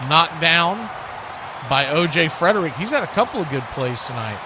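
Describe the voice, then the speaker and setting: A man's voice: a little high, big pitch swings, moderate pace, background noise. An American man giving live football play-by-play with casual, folksy, conversational asides on a livestream. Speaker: play-by-play sports commentator.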